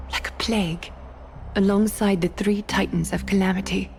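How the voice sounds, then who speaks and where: A woman playing a composed, authoritative leader in a fantasy game, speaking in a measured, formal and deliberate way. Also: lowish voice, gravelly, darkish tone, a little hiss or hum. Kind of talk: dramatic fantasy game dialogue. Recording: background music behind the voice.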